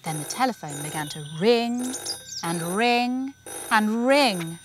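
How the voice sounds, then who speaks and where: Casting: female storyteller for picture books, medium pitch with lots of swoop, expressive and deliberate, dramatic emphasis. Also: slight background noise. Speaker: author reading her own book. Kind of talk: expressive children's storybook narration.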